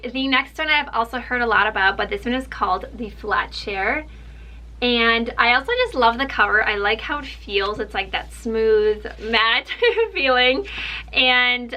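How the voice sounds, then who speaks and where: A young woman with Russian as her first language, talking through a casual book haul. Her voice is bright and a little high, and she sounds eager and chatty, with plenty of "like" and "um" in her talk. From Ukraine. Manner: chatty, excited, full of "like" and "um". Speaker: book vlogger.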